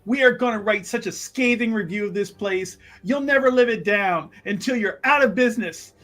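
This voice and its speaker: A woman playing an excitable, whiny party-goer who talks in a dramatic, slangy way and is easily wounded. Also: mid-range voice, moderate pace, voice pushed down, close to an everyday voice. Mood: indignant.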